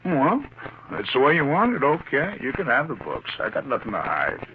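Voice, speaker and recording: A domineering, suspicious gangster boss barks curt orders in slangy radio crime drama style. His mid-pitched voice swings widely with tension. The old recording is dull and narrow. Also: wildly swooping pitch, normal speed, slight background noise. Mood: guarded, smooth.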